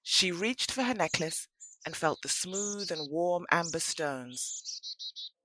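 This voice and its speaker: A woman narrating a scripted audio play in an expressive, dramatic storytelling manner. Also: on the low side, slow, background noise.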